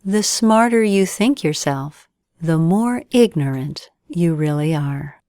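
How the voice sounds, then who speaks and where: A woman's voice: low-pitched, animated, takes it slowly, warm tone, no room sound, clean studio sound. A woman reading a scripted bedtime story as narrator.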